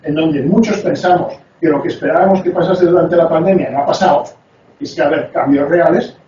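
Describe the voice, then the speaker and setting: A man giving an academic lecture in a reflective way, pushing his pitch up on the key phrases. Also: a little fast, mellow, slight background noise. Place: lecture hall. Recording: very echoey, distant, dull sound.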